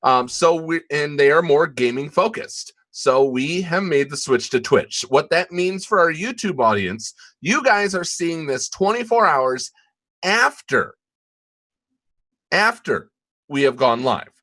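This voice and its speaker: A man co-hosting a podcast in casual live-stream chat, halting, with many ums and restarts. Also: fairly high pitch, expressive, fairly bright tone. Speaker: podcast co-host.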